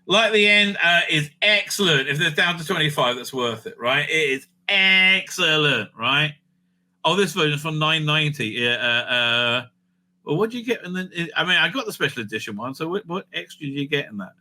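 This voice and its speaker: A man with a fairly high, strikingly bright and sharp voice hosts a casual review stream. He rambles off the cuff with firm opinions, often checking in with a short "right?" at the end of a thought. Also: animated, moderate pace. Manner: rambling, opinionated, repeatedly tags phrases with "right?". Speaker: host of a livestream review show. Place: indoors at home.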